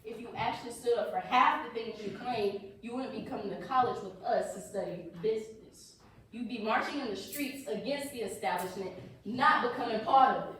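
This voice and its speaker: A woman's voice: on the high side, a little fast, fairly bright tone, close to an everyday voice. Defensive young man, played by a woman, very echoey. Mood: indignant. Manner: indignant, cutting. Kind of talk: staged play reading. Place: echoey indoor room, far mic. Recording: distant, echoey room with hiss.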